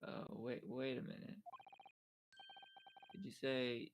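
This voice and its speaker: A man with a creaky voice, reading dialogue in a group fan dub of a video game. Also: mid-range voice, level tone, slow pace, slight background noise. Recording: prominent game music behind.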